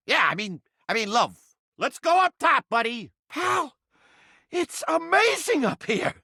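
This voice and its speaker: A man's voice: very high-pitched, sing-song, a little slow, pushed and bright, no echo, clean studio sound, exaggerated voice. A man voicing a foul-mouthed, touchy, lovelorn mouse trap come to life in a video game, with brash, streetwise, slangy griping. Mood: bashful, eager. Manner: brash streetwise slangy griping, swinging between insults and bashfulness. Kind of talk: cartoonish video game character acting.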